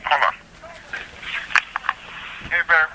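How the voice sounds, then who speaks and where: A man, a hotel guest, answering the phone, hesitant and apologetic. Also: extremely slow. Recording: noisy phone line.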